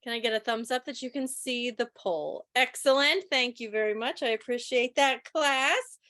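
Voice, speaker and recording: A woman's voice: a little high, normal speed, pushed and bright. A woman hosting a club quiz on a video call, with a playful, teacherly manner. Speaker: quiz host. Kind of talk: club quiz hosting, reading questions aloud.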